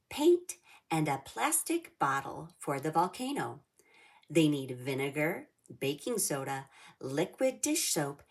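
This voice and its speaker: A woman reading a children's storybook aloud in a measured, simple way for young listeners. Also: deep voice, a little mellow.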